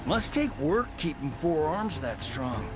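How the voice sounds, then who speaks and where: A cocky action hero with a fairly high, lively voice. He is flippant and boastful in video game banter, with music behind him. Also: unhurried. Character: cocky, wisecracking vain male action-movie hero.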